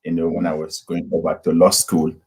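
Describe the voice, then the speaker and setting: A man's voice: low-pitched, monotone, darkish tone, slight background noise. A middle-aged man from Nigeria, a pastor, telling his story unhurriedly over a video call with many fillers. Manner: unhurried, with frequent fillers and restarts. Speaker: pastor and guest. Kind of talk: casual interview storytelling.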